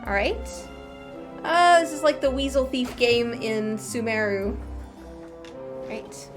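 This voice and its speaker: A woman's voice: on the high side, takes it slowly. A woman doing casual gameplay commentary as a streamer, chatty, playful and gushing. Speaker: game streamer. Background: game music.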